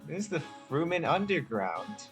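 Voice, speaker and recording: A man's voice: fairly high pitch, very animated, normal speed. Laid-back man gaming, music behind. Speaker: gamer, video host. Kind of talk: casual game playthrough commentary. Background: game music.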